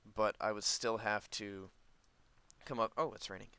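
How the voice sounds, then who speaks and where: A man's voice: fairly low pitch, steady tone, a little slow, pushed and bright, clear, resonant tone, dry recording. A man giving casual let's play commentary, rambling and thinking aloud as host of a gaming video.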